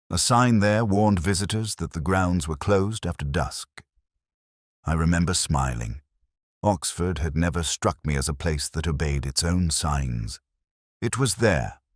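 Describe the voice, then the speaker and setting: Brooding older detective , played by a man: very deep voice, wide melodic range, reflective first-person drama narration, close dry sound. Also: no room sound. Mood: wistful, reflective. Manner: measured, literary, reflective.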